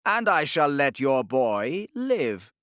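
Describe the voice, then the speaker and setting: A man voicing a smooth, unbothered, menacing Spanish Grand Inquisitor in an audiobook, speaking slowly and deliberately with a commanding manner. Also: medium pitch, very animated, fairly bright tone, dry recording, clean studio sound, pitched above the performer's own voice. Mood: smooth menace.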